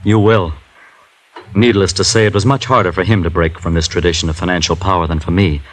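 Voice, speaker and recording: Actor as a low-voiced, earnest young heir. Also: male voice, fairly even intonation, a little fast, slight background noise, lightly acted, poor audio. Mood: earnest, proud. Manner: formal, stiffly articulate. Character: earnest, proud young heir of a rich family. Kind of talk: old-time radio drama acting.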